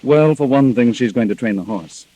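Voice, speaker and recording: Husky, controlling radio-drama husband, played by a man. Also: medium pitch, moderate pace, warm tone, natural voice, low-quality recording. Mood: smooth, evasive. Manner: brusque, insistent. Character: controlling, impatient husband of doubtful intentions. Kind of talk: 1940s radio drama acting. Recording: vintage 1940s radio recording.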